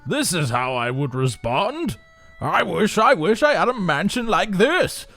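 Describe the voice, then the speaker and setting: A man's voice: high voice, very animated, a little slow, fairly bright tone, faint music behind, pitched above the performer's own voice, exaggerated voice. A man playing a simple, jealous stonecutter in a folk tale, theatrical and emphatic as he craves more. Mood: jealous longing. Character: simple, jealous, ever-grasping male stonecutter wishing for power.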